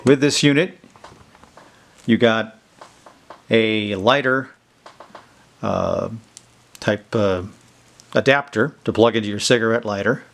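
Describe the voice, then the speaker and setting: A man's voice: lowish voice, takes it slowly, vocal fry, long pauses, a bit bright. Man casually demonstrating gadgets on video. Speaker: video host showing vintage electronics. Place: indoors in a basement.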